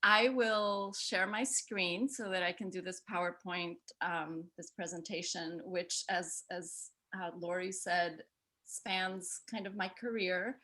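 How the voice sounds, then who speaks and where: A woman's voice: fairly low pitch, steady tone, takes it slowly, bright, forceful tone. A middle-aged woman from El Salvador giving a casual, conversational talk about her art over a video call, with many fillers and restarts.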